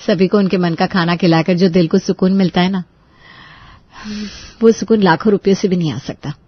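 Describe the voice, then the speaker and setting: A woman's voice: lowish voice, measured pace, pauses often, dry recording, dull audio. A woman voicing a dutiful, shrewd young daughter-in-law in a family story. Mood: eager, obliging.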